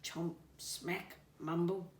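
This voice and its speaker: A woman's voice: lowish voice, level tone, drawn-out words. Woman as little kakapo parrot, sleepy, mumbly, reluctant, storybook animal, distant roomy recording. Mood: drowsy. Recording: roomy, distant mic, faint noise.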